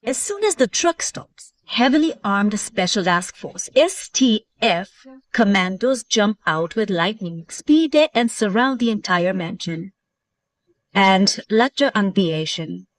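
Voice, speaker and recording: Woman to narrate a scripted moral tale; measured, slow delivery in a dry recording. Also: mid-range voice, animated, no room sound, far from the mic.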